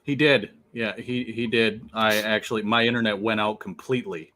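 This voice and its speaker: A man's voice: lowish voice, fairly even intonation, moderate pace, sharp tone. A man hosting a livestream from his laptop, chatting casually, laughing often and swearing freely. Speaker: livestream host. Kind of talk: casual chat. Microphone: laptop on a remote call.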